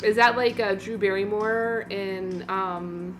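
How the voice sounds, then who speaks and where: A woman's voice: mid-range voice, fairly even intonation, slow, over music. A woman in her late thirties chatting casually and informally as a livestream host, in a rambling, exclamatory way. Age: late 30s. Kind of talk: casual livestream chat responding to viewers.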